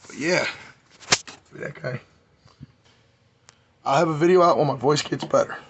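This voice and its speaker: A man, hoarse and losing his voice, giving a rambling, enthusiastic hobby update on his model car builds. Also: on the high side, normal speed. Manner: rambling, enthusiastic, many fillers.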